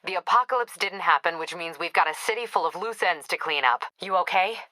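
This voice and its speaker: An actress playing a female police captain in a video game, brisk and weary, with a clipped, businesslike, dryly wry way of talking. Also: lowish voice, animated, pushed and bright, no echo. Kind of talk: video game voice acting, radio dispatch briefings.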